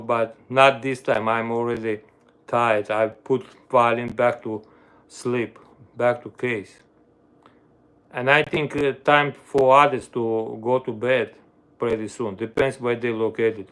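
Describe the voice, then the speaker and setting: Slow-talking Armenian-accented man. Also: mid-range voice, fairly bright tone, smooth. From Armenia, grew up in the Soviet Union. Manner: searches for words, fillers, broken grammar. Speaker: livestream host, violinist. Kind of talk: casual live-stream chat with viewers.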